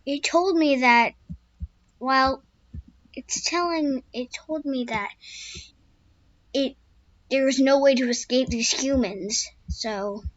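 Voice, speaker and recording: A woman telling the tale of a squeaky wild horse. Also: no room sound, dull audio. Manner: first-person storytelling with restarts, turning frantic when scared. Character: young wild male horse, a skittish loner. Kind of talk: amateur spoken story.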